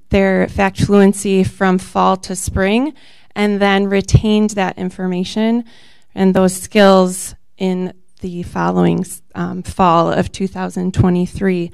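A woman presenting as a school math interventionist at a board meeting, steady and informative with frequent pauses. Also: medium pitch, vocal fry, clear, resonant tone, no room sound. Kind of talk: prepared presentation.

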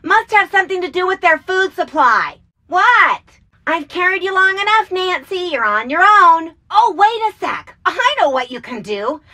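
Game role: eccentric, bossy woman researcher, piercingly high, forceful, brusque. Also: big pitch swings, moderate pace, heavily acted. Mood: matter-of-fact, then brisk. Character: bossy, eccentric female field insect researcher. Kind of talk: video game character acting.

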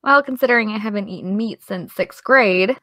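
A woman's voice: medium pitch, moderate pace, fairly bright tone, dry recording, close to an everyday voice. A young actress playing an exasperated, defensive young woman with dry comebacks in an audio drama. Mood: annoyed. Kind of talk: audio drama dialogue of a video call.

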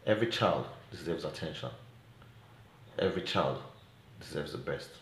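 A middle-aged man with a Nigerian accent delivers an earnest appeal in a low voice. His phrasing is deliberate and emphatic. The phone recording sounds roomy, with faint noise behind it. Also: expressive. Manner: deliberate, emphatic, rhetorical questions. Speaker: child welfare advocate and presenter. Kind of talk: earnest advocacy vlog to camera. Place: small indoor room at home. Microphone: phone at arm's length.

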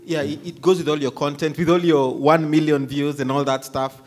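A man's voice: on the high side, flat delivery, normal speed, darkish tone. Male panelist, 30s, handheld mic, confident and advisory. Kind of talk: panel answer.

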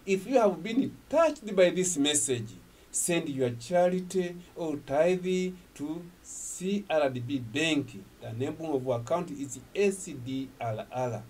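A man's voice: a little high, lively intonation, slow, darkish tone. An older man whose first language is Swahili, preaching and praying emphatically in English at a studio desk. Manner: emphatic, gestures while preaching. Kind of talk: sermon closing and prayer. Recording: distant from the mic.

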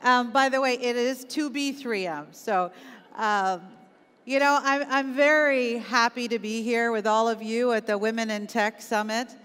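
A middle-aged woman with a Canadian accent giving a motivational keynote, speaking deliberately and stressing key words. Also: a little high, fairly bright tone, clean tone. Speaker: conference keynote speaker, business executive. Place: on stage in a large auditorium. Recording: echoey large hall, long reverb.

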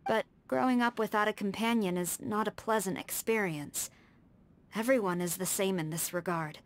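An actress playing a stern, proud tengu warrior woman in a video game, with composed, firm and measured formal speech. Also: mid-range voice, close to an everyday voice. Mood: reflective, reserved. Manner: formal, measured, precise diction. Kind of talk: scripted video game character dialogue. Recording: studio voice over background music.

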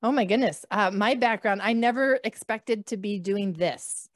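A woman's voice: medium pitch, normal speed, dry recording, clean studio sound. A woman in her thirties from the northern United States, a physician guest telling her story with many fillers over a video call. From northern United States, near the Canadian border. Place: small room at home. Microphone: podcast mic on a video call.

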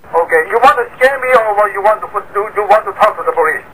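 High, sharp man repeating odd demands. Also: animated, fast talker, a little hiss or hum, low-quality recording. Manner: repetitive, broken phrases, demanding. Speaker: prank caller. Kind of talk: prank phone call.

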